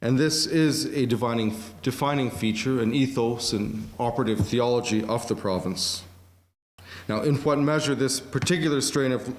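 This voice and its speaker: A man delivering a prepared academic conference lecture, measured, with occasional fillers. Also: medium pitch. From Canada. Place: museum auditorium. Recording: echoey museum lecture hall.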